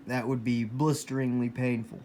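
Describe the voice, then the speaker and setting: A man from the United States giving a casual, enthusiastic show-and-tell as a hobby collector, talking in halting phrases with emphatic bursts. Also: mid-range voice, steady tone, darkish tone. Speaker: hobbyist collector presenting his weather radios. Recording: noisy room, clear background noise.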